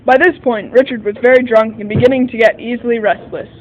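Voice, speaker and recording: A man's voice: very high voice, steady tone, fast talker, narrow, tinny audio, a little hiss or hum. A man playing a detective's sidekick who narrates a 1940 radio mystery in the first person. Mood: measured recounting.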